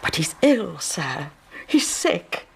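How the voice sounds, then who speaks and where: An actress playing a distressed, loyal servant woman who speaks in an earnest, halting, deferential and breathy way in a radio drama. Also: fairly low pitch, very animated, slight background noise, exaggerated voice. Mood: tearful pleading. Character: distressed, loyal servant wife, devout and pleading.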